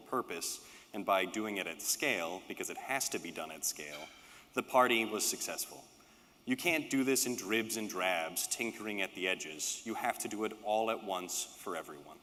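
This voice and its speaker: A man with a lowish voice delivers a prepared political speech. His phrasing is emphatic and rhetorical, leaning on repetition to drive points home. The sound carries the long reverb of a large hall. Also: a bit bright. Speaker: political speaker, panelist.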